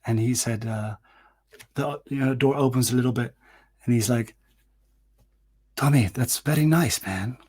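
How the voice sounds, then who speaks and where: A man's voice: mid-range voice, measured pace, long pauses, slightly rough. A man in his forties telling a personal story in a casual, rambling monologue, swearing, restarting his sentences and acting out what people said. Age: 40s. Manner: rambling, profane, animated, with frequent restarts and fillers.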